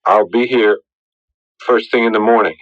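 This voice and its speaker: Earnest low-voiced man, an actor's part. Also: steady tone, moderate pace, no room sound, close to an everyday voice. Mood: apologetic. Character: earnest, conflicted fiancé named Stan, gentle and solemn. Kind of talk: radio drama acting. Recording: dull lo-fi old radio recording.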